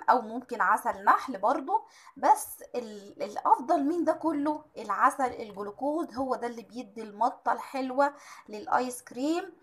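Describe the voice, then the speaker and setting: An Egyptian woman hosting a home cooking tutorial, chatty and full of drawn-out hesitations, her voice a little strained and tired. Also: a little high. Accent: Egyptian Arabic. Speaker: cooking channel host.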